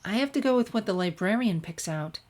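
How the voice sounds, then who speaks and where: A woman's voice: mid-range voice, expressive, fairly quick, darkish tone, natural voice. A woman voicing a studious, polite teenage girl who speaks earnestly in a story.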